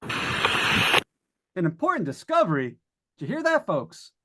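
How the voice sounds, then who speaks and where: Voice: mid-range voice, wildly swooping pitch, takes it slowly, very noisy. An actor in an old-time radio suspense play, playing a jittery, stammering broadcaster who talks to listeners at home in a folksy way and is coming unraveled. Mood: jumpy.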